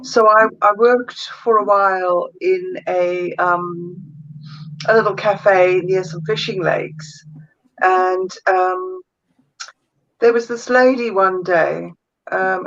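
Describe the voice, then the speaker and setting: A South African woman talks unhurriedly as a guest healer in a relaxed interview, stopping often with fillers while she searches for the right word. Her voice has a mid pitch, and the audio sounds a little dull and lo-fi. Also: slow pace. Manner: thoughtful, searches for words, many fillers. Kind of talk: casual interview chat.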